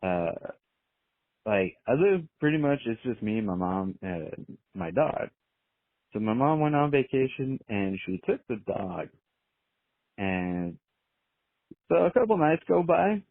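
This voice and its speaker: A man's voice: mid-range voice, sing-song, slow, long pauses, no room sound. A man leaving a casual voicemail anecdote as a caller, rambling hesitantly with lots of fillers. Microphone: phone. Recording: tinny phone voicemail.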